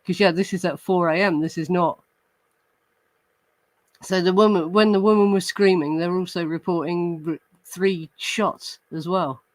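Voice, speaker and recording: A man's voice: high-pitched, fairly even intonation, unhurried, poor audio. Chatty man thinking aloud on a podcast. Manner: chatty, thinking aloud with many fillers and restarts; reads police report passages aloud. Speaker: true crime podcast host. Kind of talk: casual chat reacting to live comments.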